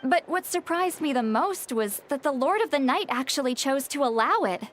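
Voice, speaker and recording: A woman playing a calm, thoughtful young woman who explains things in a measured way in a video game. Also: high-pitched, slight background noise. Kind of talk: English video game voice acting. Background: game music.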